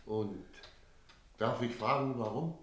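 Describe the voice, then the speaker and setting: An actor playing a wary village innkeeper in a German radio drama, telling his story in a measured, brooding way. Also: medium pitch, fairly bright tone, a little hiss or hum. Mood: wary, surprised. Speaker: narrator and character in a radio play.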